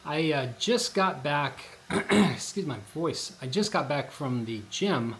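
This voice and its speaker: A hoarse man vlogging in a casual, rambling way, full of fillers and restarts. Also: fairly high pitch, lively intonation, takes it slowly. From Colorado. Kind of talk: casual vlog chat. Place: outdoors at a shopping district in light rain. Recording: noisy outdoor recording.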